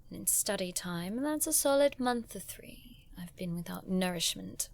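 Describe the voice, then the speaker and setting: A woman's voice: medium pitch, animated, normal speed, creaky. An actress playing an elegant, sarcastic young lady scholar of magic in an audio drama, with an arch, teasing manner.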